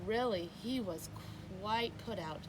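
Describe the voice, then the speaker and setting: A woman reading a children's picture book aloud as a storyteller, with expressive, emphatic narration. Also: medium pitch, takes it slowly, noisy background.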